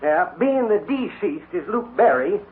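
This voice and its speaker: A folksy, grumbling comic cowboy sidekick, high-pitched and swooping all over. He is excitable and cranky, heard through a tinny old radio western transcription. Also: a little slow, a little hiss or hum. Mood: mock solemn. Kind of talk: 1950s radio western acting.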